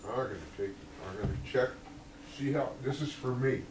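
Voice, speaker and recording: A man giving an earnest, halting testimony in a small church. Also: mid-range voice. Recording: echoey room, distant mic, noisy.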